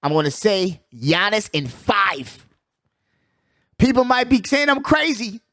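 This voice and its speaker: Guy w a higher voice doing sports hot takes, slangy n emphatic, repeats stuff, really dry sound. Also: expressive, takes it slowly, a bit bright, no echo. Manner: emphatic, repeats phrases for effect; slangy, conversational address to listeners. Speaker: sports show host. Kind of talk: casual, opinionated sports commentary.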